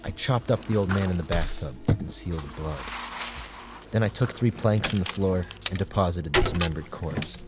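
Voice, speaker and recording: An actor playing an obsessive madman who confesses to murder in intense, theatrical gothic narration, swinging between hushed and loud delivery. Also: low-pitched, moderate pace, very noisy, natural voice. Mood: gloating. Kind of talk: dramatic first-person gothic narration, audio drama.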